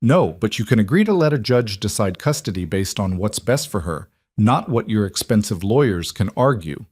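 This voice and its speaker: A man's voice: fairly low pitch, sing-song, normal speed, darkish tone, no echo. A man plays a weary, wary woman, pregnant and estranged from her husband, in an audiobook romance. The delivery is firm and guarded, recorded very dry.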